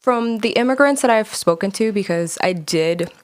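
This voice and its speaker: A young American woman talking casually as a podcast guest, her voice sometimes dropping to soft asides. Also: medium pitch, expressive, normal speed, no echo. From United States. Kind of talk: casual interview conversation.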